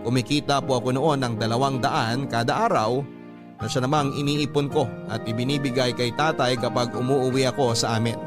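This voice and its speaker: A man's voice: medium pitch, fairly even intonation, a little fast. A man narrating a scripted letter as a radio host, in a classic storytelling style. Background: background music.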